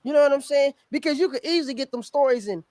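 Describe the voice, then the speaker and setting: A woman's voice: high-pitched, fast talker, a little mellow, dry recording. A woman with an American accent hosting a casual podcast discussion, emphatic and slangy, swearing freely. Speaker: podcast host.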